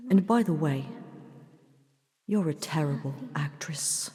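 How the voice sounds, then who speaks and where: A woman's voice: low-pitched, slow pace, warm tone. A woman playing a shrewd, composed vampire princess and doctor in an audio drama, with dry scorn. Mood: cold, accusing. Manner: composed, accusatory, dryly scornful. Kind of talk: scripted immersive audio drama. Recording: long reverberant hall sound.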